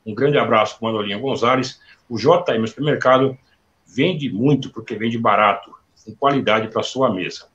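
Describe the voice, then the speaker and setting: A man's voice: medium pitch, normal speed, fairly bright tone, highs cut off, a little hiss or hum. A man hosting a live online interview, chatting freely and reading out sponsor plugs before his questions. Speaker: interview host. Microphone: video call.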